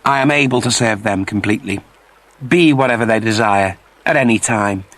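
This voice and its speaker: A man's voice: fairly low pitch, moderate pace, a bit bright, no echo, close to an everyday voice. A man voicing a submissive but proud shapeshifting alien in a sci-fi story, speaking formally and earnestly. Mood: solemn.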